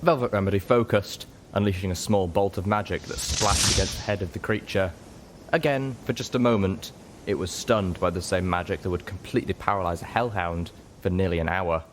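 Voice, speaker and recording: A man's voice: low-pitched, fairly quick, background noise. A man reading scripted story narration as an audiobook reader.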